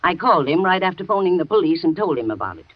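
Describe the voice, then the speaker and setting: An actress playing a loyal housekeeper in a 1940s radio thriller, talking with a nervous, shaky manner on a dull old broadcast. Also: female voice, on the low side, big pitch swings, quick pace, dry recording. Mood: shaken. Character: frightened loyal housekeeper woman, middle-aged. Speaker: housekeeper and nurse.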